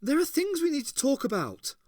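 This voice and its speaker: A man's voice: extremely high pitch, huge pitch swings, brisk, darkish tone, dry recording, clean studio sound, voice pushed up, exaggerated voice. A man voicing a scornful, hurt mother.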